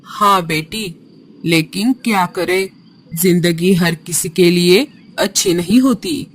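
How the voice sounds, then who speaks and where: A woman voicing an elderly female bird in a cartoon, motherly and unhurried in manner. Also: on the low side. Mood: gentle, sorrowful. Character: old yellow bird, kindly grandmother turned gloating witch. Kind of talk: Hindi cartoon character voice.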